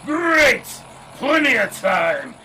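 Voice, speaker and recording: An actor playing a blustery, scatterbrained middle-aged newspaper boss in a period radio drama, booming and enthusiastic. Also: male voice, very animated, extremely slow, heavy background noise, heavily acted. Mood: cheerfully bossy. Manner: booming, enthusiastic, careless with details.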